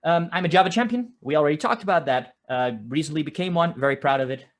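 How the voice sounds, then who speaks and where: A man's voice: fairly high pitch, fast. Dutch guy giving a conference talk from home, chatty, restarts his sentences a lot. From Netherlands. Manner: conversational, with frequent restarts and filler "uh". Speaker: conference speaker. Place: home office, construction noise outside.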